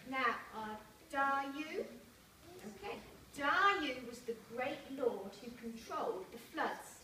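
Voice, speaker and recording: A young woman with a high voice reads a children's story at a slow, deliberate pace, pausing for asides to her small listeners. She is heard from far off in a school hall. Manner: simple, deliberate phrasing, checking in with young listeners. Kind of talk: animated picture-book read-aloud with asides to children. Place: large indoor primary school hall. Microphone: camera several metres away. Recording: echoey school hall, distant camera, noisy room.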